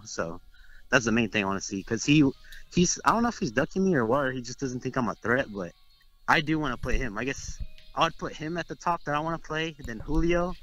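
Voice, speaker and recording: A man's voice: mid-range voice, fairly bright tone, rough recording. A young man from Texas talking trash in a casual interview, boastful and rambling with lots of "like"s. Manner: brash, boastful, rambling with many "like"s. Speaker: interviewed competitive fighting game player.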